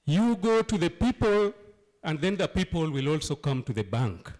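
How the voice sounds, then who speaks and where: A hoarse man from Uganda, sick with a cold, speaking emphatically at a town hall meeting and asking rhetorical questions. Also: on the high side, very animated, moderate pace, darkish tone. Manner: emphatic, rhetorical questions, repeats key phrases. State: hoarse from flu and cough. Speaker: local leader addressing a town hall.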